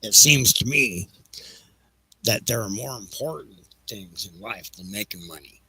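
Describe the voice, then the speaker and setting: Casting: male actor for a petulant executive, audio drama. Piercing, forceful edge with creaky fry, indignant and excuse-making. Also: fairly low pitch, lively intonation, measured pace, exaggerated voice, poor audio. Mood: scornful. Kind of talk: audio play dialogue.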